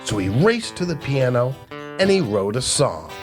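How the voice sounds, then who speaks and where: A man hosting a children's storytime, reading aloud with expressive, drawn-out emphasis on key words. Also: medium pitch. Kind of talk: animated picture-book read-aloud for children. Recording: music playing behind the voice. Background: guitar music.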